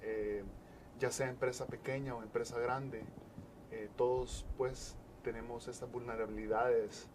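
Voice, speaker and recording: A man, explaining as an interview guest in measured, halting phrases. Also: medium pitch, flat delivery, noisy background. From El Salvador. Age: 40s. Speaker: interview guest, disaster management specialist.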